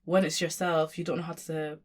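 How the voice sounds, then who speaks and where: A woman's voice: low voice, level tone, fairly quick. A young woman from Sudan, a guest and community worker, talking in a casual conversation with many fillers and restarts.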